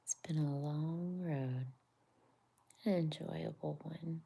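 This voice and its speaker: A woman's voice: low voice, fairly even intonation, slow, vocal fry, husky. A woman whispering a bedtime story aloud as its host. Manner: soft whisper.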